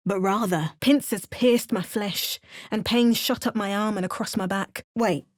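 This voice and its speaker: A woman's voice: medium pitch, measured pace, close to an everyday voice. A woman voicing a wry, battle-hardened adult adventurer who makes dry, sardonic asides and narrates her journey. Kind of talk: video game character barks and narration.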